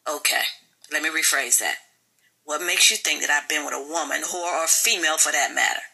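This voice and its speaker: A woman's voice: deep voice, fairly quick, creaky, sharp tone, exaggerated voice. A woman voicing an evasive, self-justifying husband in an audiobook, talking defensively. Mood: defensive, irritated. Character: defensive, evasive cheating husband, adult man. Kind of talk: audiobook character dialogue.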